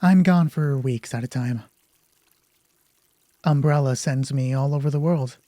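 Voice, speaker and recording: A man's voice: medium pitch, measured pace, dark tone, no room sound, natural voice. A man playing a distracted, defensive career woman in an audio drama, curt and guarded.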